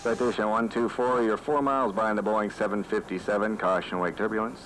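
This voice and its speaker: An American man playing a calm air traffic controller in a training film, giving clipped, matter-of-fact radio instructions. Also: fairly low pitch, fairly quick, natural voice, low-quality recording. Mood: businesslike. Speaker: approach controller.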